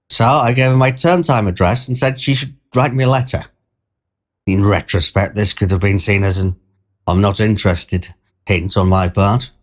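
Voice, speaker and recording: Low-pitched man, wry storyteller. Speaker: storyteller, performer. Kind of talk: first-person scripted story narration, conversational.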